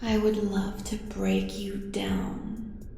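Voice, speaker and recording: A woman's voice: medium pitch, monotone, slow pace, vocal fry, warm tone. Woman as cosmic female villain for horror audio: heavily echoed, languid, seductive, commanding, faint music behind. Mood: seductive, fascinated. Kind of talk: horror audio roleplay acting. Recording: long echoing reverb with faint music.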